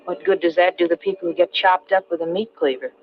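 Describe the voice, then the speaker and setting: An actress playing an anxious, fretful wife, worried and insistent, in a 1940s radio drama style. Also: female voice, on the low side, steady tone, fast talker, a little hiss or hum, lightly acted. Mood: uneasy, indignant. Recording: dull old radio recording with music.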